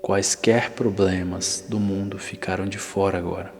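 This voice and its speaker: A low-pitched man with a Brazilian accent leads a guided meditation. He speaks soothingly and deliberately, leaving long pauses between phrases. His voice rings out in a long reverb, with faint noise and ambience underneath. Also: little pitch movement, gravelly. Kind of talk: ASMR guided visualization, scripted narration.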